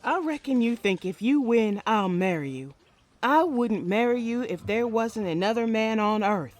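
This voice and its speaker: A woman's voice: on the high side, lively intonation, moderate pace, lightly acted. A woman playing a proud, feisty sheriff in a comic western drama, with firm, folksy cowgirl talk. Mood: defiant, angry. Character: proud, feisty female sheriff of the Old West.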